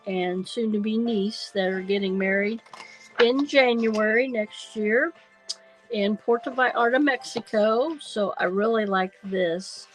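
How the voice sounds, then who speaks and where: Slow, nasal woman crafting on livestream. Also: medium pitch, rough recording. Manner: slow, hesitant, thinking aloud with many ums. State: nasal from a sinus cold. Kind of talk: casual craft livestream chat.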